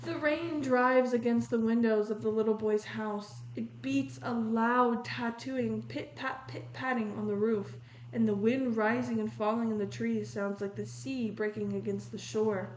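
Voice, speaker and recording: Slow-paced woman reading a storybook in echoey room. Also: fairly high pitch, fairly even intonation. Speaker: read-aloud host. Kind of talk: storybook read-aloud with commentary on pictures. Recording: roomy echo with faint noise.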